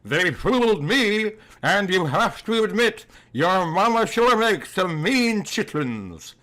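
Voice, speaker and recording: A man's voice: high voice, big pitch swings, slow pace, pushed and bright, voice pushed up, heavily acted. A man voicing a syrupy, doting middle-aged rich wife in a soap-opera parody, cooing theatrically. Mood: soothing.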